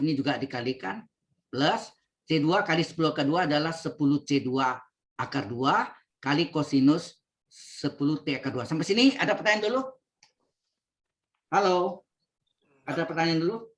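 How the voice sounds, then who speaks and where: A man leads an online calculus class. He repeats each step slowly and keeps checking in with his students over the call. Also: a little high. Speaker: lecturer. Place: home, video call. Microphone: computer mic on video call.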